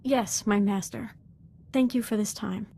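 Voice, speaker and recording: Casting: actress as young female dark-side apprentice for a game, deferential yet fervent, articulate delivery, mid-range voice. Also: medium pitch, steady tone, unhurried, a little hiss or hum, natural voice. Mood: devoted. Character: young female Sith apprentice, devoted, fervent, darkly eager. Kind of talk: dramatic video game voice acting.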